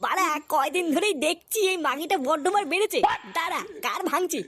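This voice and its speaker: A woman's voice: very high voice, expressive, fairly quick, a bit bright, a little hiss or hum. A woman voicing a cocky, irritable young village man in a comic cartoon, brash and full of complaints. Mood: irritated.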